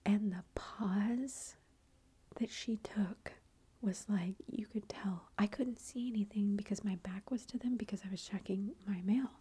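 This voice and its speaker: Softly whispering woman, slow and mellow. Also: medium pitch, breathy edge. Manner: soft unvoiced whisper throughout; chatty, conversational asides. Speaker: storyteller. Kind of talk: whispered storytelling ASMR.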